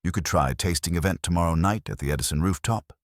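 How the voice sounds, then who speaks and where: A man's voice: deep voice, fast talker, no room sound, studio quality, natural voice. A man voicing an offhand kitchen worker giving directions in a conversational way. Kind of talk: audiobook dialogue.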